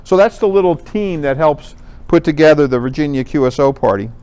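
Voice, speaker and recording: Clear-voiced American man presenting. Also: on the high side, animated, fairly quick, a little mellow. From Connecticut, United States. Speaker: amateur radio contest organizer, presenter. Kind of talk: informal club presentation with slides.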